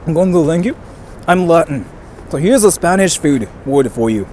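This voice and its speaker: A young man with a slightly high voice talks through a casual outdoor live stream, rambling from topic to topic and reading out viewer comments. Faint traffic and street noise run underneath his voice. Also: expressive, normal speed, darkish tone. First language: bilingual English and Japanese.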